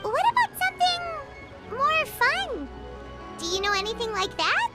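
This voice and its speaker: A woman voicing a tiny floating girlish companion creature in a video game, chatty and excitable, who refers to herself in the third person. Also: extremely high pitch, measured pace, loud music behind the voice, full character voice. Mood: chirpy, curious.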